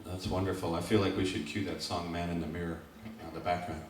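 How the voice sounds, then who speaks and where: A man with a deep voice moderates a panel, putting questions casually with some hesitation. He sounds distant in an echoey room with faint background noise. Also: level tone, fast. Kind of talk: panel discussion.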